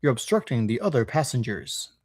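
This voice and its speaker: A man's voice: mid-range voice, big pitch swings, brisk, no room sound, voice pushed down. A man voicing an officious storybook ticket clerk who is mocking and brusque. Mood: mocking dismissal. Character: scornful, officious male railway ticket clerk.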